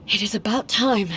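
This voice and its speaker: A woman playing a stern, regal immortal warrior deity in a video game, speaking in a solemn, formal and deliberate way. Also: on the high side, background noise. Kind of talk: dramatic scripted video game acting. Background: game music.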